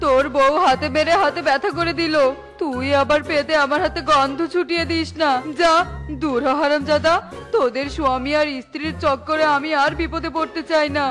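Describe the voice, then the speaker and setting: A high-pitched, irritable cartoon creature. It grumbles and exclaims in broad comic style over faint music. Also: female voice, steady tone, fairly quick, fairly bright tone. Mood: annoyed scolding. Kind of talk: exaggerated Bengali comedy cartoon acting.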